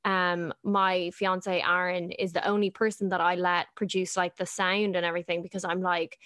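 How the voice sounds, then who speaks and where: A woman in her late twenties, a stand-up comic hosting a casual podcast chat, friendly and quick to relate. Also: fairly low pitch, level tone, a bit bright, dry recording. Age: 20s. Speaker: podcast host and stand-up comedian.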